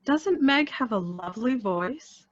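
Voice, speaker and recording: A woman's voice: fairly high pitch, animated, unhurried, dry recording, muffled recording. A woman playing a polite, formal professional in a radio play. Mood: kindly.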